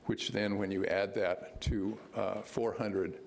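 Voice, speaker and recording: A man in his sixties giving a public comment at a county board meeting, speaking in a measured, hesitant way with many fillers into a podium microphone. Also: fairly low pitch, fairly even intonation, gravelly.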